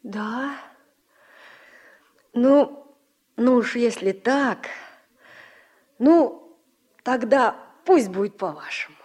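An actress playing a kind, hesitant village housewife in a radio play, speaking in a folksy way. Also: female voice, a little high, drawn-out words, pauses often, darkish tone. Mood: hesitant, protesting. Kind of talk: Soviet radio play acting.

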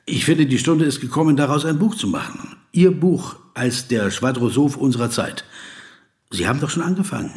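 An actor in a radio play voicing a polite, persistent male interviewer who probes, flatters and gives deliberate literary asides. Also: medium pitch, natural voice. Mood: probing, pleading. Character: persistent, courteous male interviewer, flattering, observant, narrating into dictaphone.

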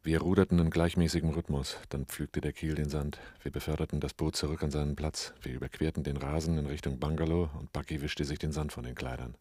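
A man's voice: very deep voice, steady tone, fast, few pauses, darkish tone, slightly rough, dry recording. An actor playing a cool, laconic, seasoned male gun smuggler in a crime radio drama. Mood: cool narration. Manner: laconic, unruffled.

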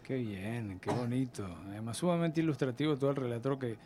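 A man hosting a radio interview, asking gentle questions and giving brief affirmations. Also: mid-range voice, fairly quick, a little hiss or hum. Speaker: radio host.

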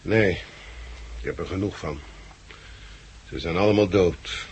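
An actor playing a weary, frank, remorseful former group leader in a 1960s Dutch radio drama, speaking plainly. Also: male voice, low-pitched, unhurried. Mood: weary, rueful. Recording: noisy vintage 1969 radio recording.

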